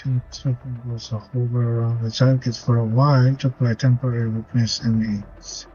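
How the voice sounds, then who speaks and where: A man commentates over a game in a flat, mellow voice, reading out ability text as he goes. The recording is dull and lo-fi, with faint music underneath. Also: medium pitch, normal speed. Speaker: gaming video host. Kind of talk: gameplay commentary, reading out skill descriptions.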